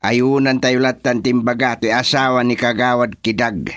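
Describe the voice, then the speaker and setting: A man playing a deliberate village chairman in an Ilocano radio comedy drama, drawing out words in an official way. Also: mid-range voice, fairly even intonation, fairly bright tone, no echo, studio quality, lightly acted. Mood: agreeable. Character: village chairman presiding over youth activity plans.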